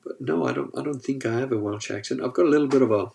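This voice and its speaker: A man's voice: medium pitch, very fast, vocal fry, darkish tone, slight background noise. A man with a sore throat hosting a casual livestream, reading chat and joking as he rambles. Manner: rambling, joking, restarts often.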